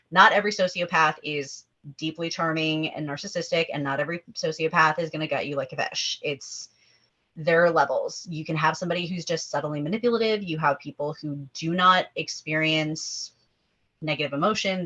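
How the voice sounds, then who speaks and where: A woman's voice: low-pitched, pushed and bright. A woman in a casual panel discussion, speaking in an emphatic, opinionated way through slightly dull lo-fi audio. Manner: emphatic, opinionated, talking in numbered points. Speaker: table read performer.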